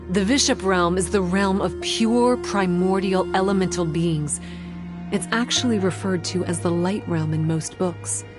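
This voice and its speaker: An actress plays a young shrine maiden with a lowish female voice. She is solemn and guarded, speaking like a scholar in measured, formal phrases. Faint music runs behind the game dialogue. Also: natural voice. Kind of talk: video game character acting.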